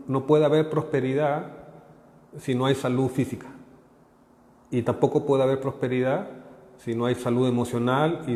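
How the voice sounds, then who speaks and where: A man's voice: medium pitch, warm tone. Chilean man talking in an echoey room. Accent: Chilean, partly Mexican. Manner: halting, repeats words, tags phrases with "¿no?" and "¿ok?". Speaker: prosperity consultant giving a talk. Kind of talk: live online workshop talk. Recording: echoey room with long reverb.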